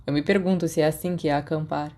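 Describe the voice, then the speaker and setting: Woman as a low-voiced, dry Brazilian teenage boy. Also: fairly even intonation, fast talker, warm tone. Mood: pensive. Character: studious, dry-witted, reserved teenage boy wizard.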